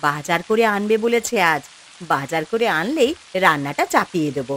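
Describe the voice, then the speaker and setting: A woman's voice: fairly low pitch, big pitch swings, normal speed, a bit bright, a little hiss or hum. A woman voicing an anxious, caring village wife and mother in a cartoon, plaintive and fretful. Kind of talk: Bengali fairy-tale cartoon dubbing.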